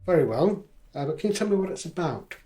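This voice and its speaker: An actor in a radio drama playing a mild, supportive young man, speaking politely and in a measured way. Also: fairly high pitch, sing-song, mellow. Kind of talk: radio drama acting, domestic dialogue.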